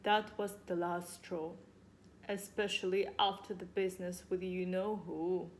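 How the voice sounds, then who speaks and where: A woman's voice: lowish voice, flat delivery, measured pace, slight background noise, lightly acted. Woman reading as weary, protective storybook papa bear. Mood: aggrieved. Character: protective, shy father bear, weary of trouble.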